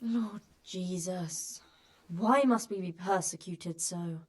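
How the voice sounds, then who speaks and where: An actress playing a flustered peasant farm wife in a video game, dodging questions about a bandit raid. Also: female voice, on the low side, slow pace. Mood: evasive. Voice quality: evasive, flustered. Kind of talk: video game cutscene acting.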